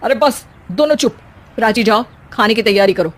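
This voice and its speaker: An exasperated young husband from a cartoon family comedy, acted out by a woman. The voice is on the high side, weary and wry. He scolds like someone stuck refereeing a household quarrel. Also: animated, moderate pace. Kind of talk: animated family comedy story acting.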